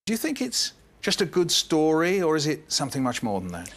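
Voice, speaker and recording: Lively man hosting a book panel. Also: on the high side, brisk. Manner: lively, poses questions to panelists by name. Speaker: programme host. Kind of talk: presenting and chairing a book panel.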